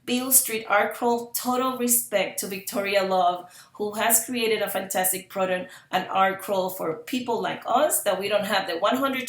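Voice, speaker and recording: A woman's voice: mid-range voice, fairly bright tone. A woman, giving an earnest public presentation as a parent advocate and repeating phrases for emphasis. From Puerto Rico. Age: 50s. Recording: roomy echo.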